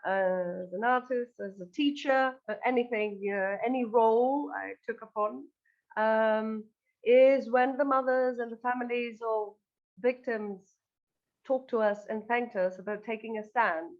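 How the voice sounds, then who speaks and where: A woman's voice: on the high side, fairly even intonation, unhurried, phone-quality sound. A woman from northern Greece, answering interview questions earnestly and hesitantly, repeating words as she goes. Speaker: interview guest, band singer and lyricist.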